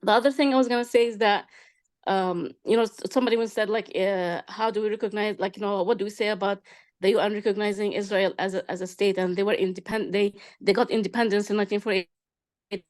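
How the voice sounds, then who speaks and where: A woman's voice: mid-range voice, fairly even intonation, fast, darkish tone. A middle-aged woman from Palestine, a native Arabic speaker with a Palestinian accent, speaking informally and emphatically in a group discussion, explaining as she goes. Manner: emphatic, explanatory, repeating and checking with listeners. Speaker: discussion participant.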